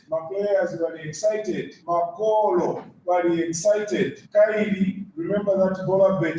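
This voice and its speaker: A male football coach speaks in a recorded sound bite, switching between a local language and English. His voice is fairly high and mellow. It is picked up from afar in a very echoey space. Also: slight background noise. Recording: roomy field recording from a distance.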